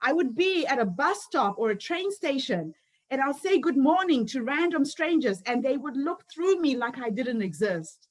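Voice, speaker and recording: A woman's voice: sits high, big pitch swings, moderate pace, rough recording. A woman, giving an earnest motivational speech built on personal stories. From Zimbabwe. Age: 50s. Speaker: summit founder and speaker.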